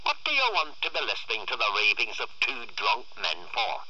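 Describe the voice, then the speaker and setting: A man's voice: lowish voice, huge pitch swings, moderate pace, slightly rough, no echo, muffled recording, heavily acted. A man voicing a fierce, exasperated farm mother who snaps and scolds, in a storytelling reading. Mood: irritated. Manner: sharp, snapping.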